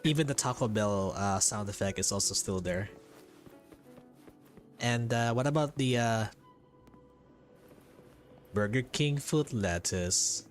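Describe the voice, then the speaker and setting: A man's voice: lowish voice, slow, pauses often. A man with a Filipino accent giving casual live gameplay commentary and chatting with viewers, rambling and repeating his phrases. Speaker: game streamer. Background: faint game music.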